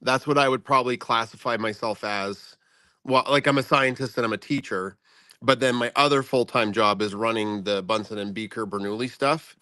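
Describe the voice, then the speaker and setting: A man speaking as a guest in a casual chat, explaining things in a halting, self-effacing way. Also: medium pitch, bright, forceful tone, no echo. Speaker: guest speaker, science teacher and content creator.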